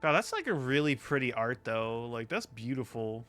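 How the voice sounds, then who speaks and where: A man's voice: mid-range voice, big pitch swings, moderate pace, fairly bright tone, soft background music. A man streaming a game, chatting casually and informally with some swearing. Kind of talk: casual chat over gameplay.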